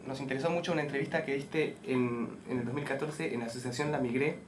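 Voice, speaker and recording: A man with an Argentine accent, asking a prepared interview question in an informal, halting way. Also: mid-range voice, fairly even intonation. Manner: tentative, halting. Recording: noisy live room.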